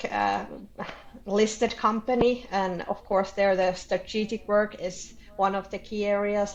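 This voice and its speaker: A woman with a Finnish accent answers as an interview guest. Her pitch is average, and she builds her answers on the spot in hesitant, halting non-native phrasing. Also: rough recording. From Finland. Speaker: interview guest, board candidate.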